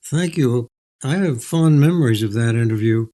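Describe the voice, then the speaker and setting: Older male author, mellow tone, reflective storytelling with articulate, measured delivery. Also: mid-range voice. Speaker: author, interview guest. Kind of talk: reflective storytelling, reading and interview.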